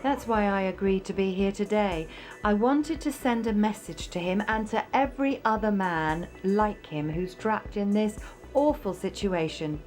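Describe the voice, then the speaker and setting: A woman's voice: medium pitch, unhurried, darkish tone, faint music behind, close to an everyday voice. A woman acting an earnest, impassioned abuse support worker in a radio drama, speaking with pleading emphasis.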